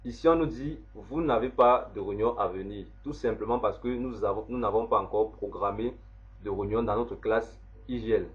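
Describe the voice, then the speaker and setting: A man, slowly walking through a software setup step by step as an instructor. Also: on the low side. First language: French. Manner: slow, step-by-step explaining. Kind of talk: screen-recorded software tutorial. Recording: dull lo-fi voice recording.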